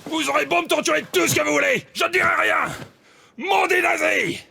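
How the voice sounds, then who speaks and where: An actor playing a defiant, taunting resistance prisoner in a war game, gravelly and. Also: male voice, extremely high pitch, brisk, shouting, very noisy, exaggerated voice. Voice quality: creaky, gravelly. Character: defiant captured French male resistance saboteur, taunting captors. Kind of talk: shouted cinematic game acting.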